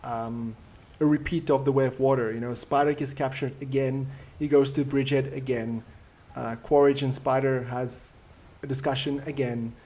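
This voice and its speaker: A man with a South African accent, whose first language is Afrikaans, hosting a live stream and answering viewer comments in a relaxed, reflective way. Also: mid-range voice, normal speed, few pauses. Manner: reflective, easygoing and conversational.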